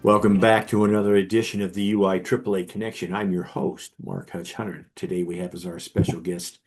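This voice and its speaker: A man's voice: deep voice, little pitch movement, brisk, darkish tone, faint music behind. A man hosting a podcast, introducing his guest and prompting him with short questions. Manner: brief interjections and prompting questions. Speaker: podcast host. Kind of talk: interview hosting.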